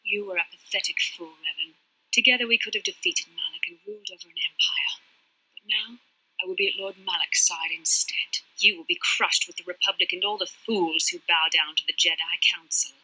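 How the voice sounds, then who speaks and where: An actress playing a proud young woman fallen to the dark side, intense and haughty in a dramatic video game style. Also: fairly low pitch, moderate pace, poor audio. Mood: scornful, defiant.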